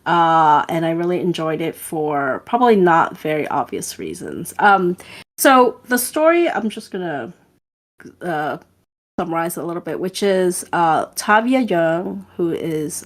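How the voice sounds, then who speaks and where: A woman co-hosts a relaxed podcast, talking in a somewhat low voice. She rambles and restarts, leaning on ums and uhs as she explains things. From Hong Kong. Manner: chatty, rambling with fillers and restarts. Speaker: podcast co-host. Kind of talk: casual podcast chat.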